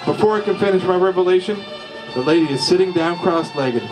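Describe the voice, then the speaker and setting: A man reading a comic first-person story aloud in a slow, folksy deadpan drawl at a live comedy show. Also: high voice, over music. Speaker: storyteller reading his father's short story.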